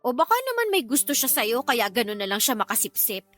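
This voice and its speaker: Radio drama role: defensive, sassy young Filipino niece, high and bright, light music underneath. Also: female voice, big pitch swings, fairly quick. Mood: insolent, sassy. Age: young adult. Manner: sulky, argumentative, sassy. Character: insolent, defensive young niece working in uncle's shop. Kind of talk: Tagalog radio drama acting.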